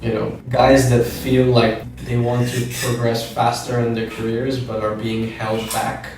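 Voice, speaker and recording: A man in his thirties, a gym co-founder, talking in a measured, reflective way on a podcast and often stopping to rephrase. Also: medium pitch, flat delivery, recorded from a distance. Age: 30s. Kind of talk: podcast conversation. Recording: roomy echo, off-mic, faint noise.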